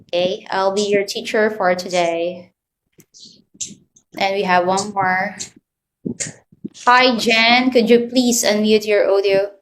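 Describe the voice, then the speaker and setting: A woman's voice: medium pitch, steady tone, slow, long pauses, a little hiss or hum, poor audio. A woman from the Philippines teaching an online English class to children, asking patient prompting questions over a video call.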